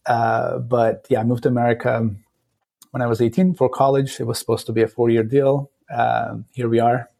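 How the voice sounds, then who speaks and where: A man in his late thirties from Turkey with a Turkish accent, a podcast guest talking thoughtfully and pausing often to search for words. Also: mid-range voice, little pitch movement, vocal fry, warm tone. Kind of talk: casual interview talk.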